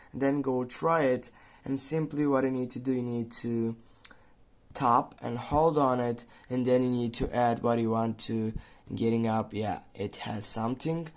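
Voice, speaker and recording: A man narrating an improvised how-to tutorial, speaking haltingly with pauses in the middle of his sentences. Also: mid-range voice, a little mellow. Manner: halting, pausing mid-sentence. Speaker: tutorial host.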